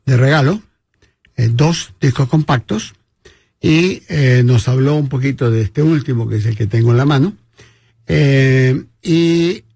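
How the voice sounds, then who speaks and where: A man hosting a language community radio show, reading ads and introducing a guest in a friendly, halting way with many fillers. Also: mid-range voice, creaky, a little mellow, breathy edge, dry recording, studio quality. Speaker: radio host. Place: studio.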